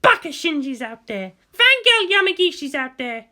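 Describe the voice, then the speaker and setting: A woman's voice: high voice, very animated, moderate pace, pushed and bright, voice pushed up, exaggerated voice. A woman voicing a wounded, hot-tempered, vengeful young female fighter in a dramatic story, snarling and emphatic. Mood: pained, furious.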